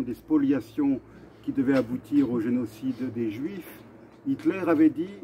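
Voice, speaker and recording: A man hosting an earnest commemorative address to camera, speaking deliberately with halting pauses and repetitions. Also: mid-range voice, a little hiss or hum. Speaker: video host and association vice-president. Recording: dull, muffled lo-fi sound.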